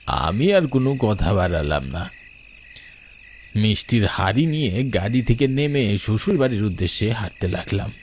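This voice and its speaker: A man's voice: fairly low pitch, sing-song, moderate pace, a little hiss or hum. A man narrating a ghost story in the first person, in a dramatic storytelling style. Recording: night crickets and insects behind.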